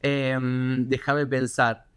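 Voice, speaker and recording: A man with a Buenos Aires accent, a correspondent answering interview questions in a reflective, halting way with many fillers and restarts. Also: mid-range voice, level tone, a bit bright, clean tone. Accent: Buenos Aires Spanish. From Argentina.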